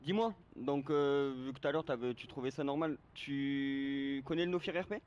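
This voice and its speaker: A man's voice: mid-range voice, moderate pace, darkish tone. A man in casual online game voice chat, asking questions insistently. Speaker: role-play player and server staff. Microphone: gaming voice chat. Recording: dull, noisy game voice chat.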